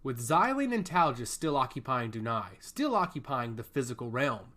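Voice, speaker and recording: Man narrating with lively, varied pitch. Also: moderate pace. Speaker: audiobook narrator. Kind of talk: scripted fantasy story narration.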